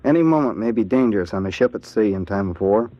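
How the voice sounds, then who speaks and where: A man with an American accent answering in a scripted 1940s radio interview as a Navy seaman, in a plain, earnest, measured way. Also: lowish voice, lively intonation, mellow, dry recording. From Ohio, United States. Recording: dull vintage 1940s radio recording.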